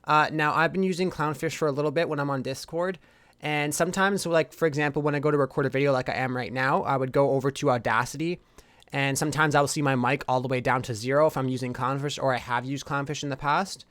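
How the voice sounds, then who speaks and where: Male tutorial host, informal and reassuring, rapid delivery, dry close-miked voice-over. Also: mid-range voice, steady tone, fairly bright tone, no echo. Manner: informal, reassuring explainer addressing viewers directly. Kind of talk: casual PC tutorial narration.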